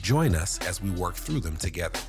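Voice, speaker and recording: A man reading a scripted podcast intro in a measured, announcer-style delivery. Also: low-pitched, sing-song. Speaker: podcast host. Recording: voice over loud music bed.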